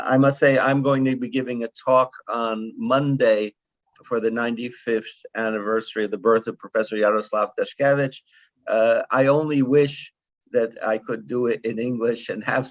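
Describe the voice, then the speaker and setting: A man in his seventies giving closing remarks at a conference, speaking in a measured, digressive way with frequent fillers and restarts. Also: mid-range voice, no room sound. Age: 70s. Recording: dull lo-fi sound, dry.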